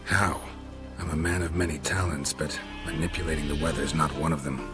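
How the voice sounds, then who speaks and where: A stoic monster hunter from a fantasy game, with an extremely deep voice and a deadpan, sardonic delivery. He says little and says it dryly, over music. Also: fairly quick. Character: stoic, dry-witted male monster hunter, a mutant swordsman. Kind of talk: scripted video game acting.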